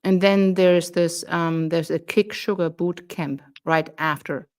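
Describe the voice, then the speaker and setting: A woman with a German accent, a German native speaker, hosting a casual live stream and pausing with frequent ums as she reads out details. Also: fairly low pitch, steady tone, darkish tone. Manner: hesitant, many ums while reading items.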